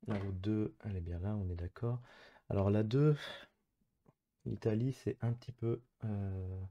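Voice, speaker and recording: A man's voice: fairly low pitch, a little slow, warm tone, muffled recording. A man commentating casually on his own online geography game, thinking aloud in hesitant, piecemeal phrases as he plays. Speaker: gamer playing an online geography guessing match.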